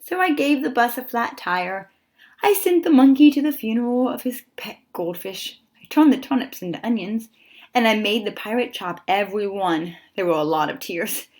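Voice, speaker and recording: A woman reads a children's story aloud in a lively, fairly high voice. Her pitch moves around a great deal, and she phrases deliberately, pausing for dramatic effect as a storyteller does. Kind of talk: expressive children's picture book read-aloud.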